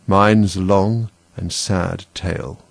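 A man voicing an easily offended storybook mouse with a severe, sharp, indignant manner. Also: on the low side, very animated, fast, gravelly, husky, no echo, heavily acted. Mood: mournful.

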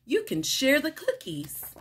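A woman's voice: high voice, wildly swooping pitch, measured pace, a bit bright, voice pushed up, full character voice. Woman reading as a cheerful, sing-song storybook mother. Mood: cheerful, welcoming.

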